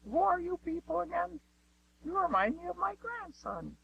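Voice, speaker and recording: Very high, creaky old granny. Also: female voice, big pitch swings, moderate pace, heavily acted. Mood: rambling, befuddled. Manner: rambling, forgetful. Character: frail, rambling old granny giving a testimonial. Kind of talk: comic parody advert testimonial. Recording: muffled, distant, noisy radio-style recording.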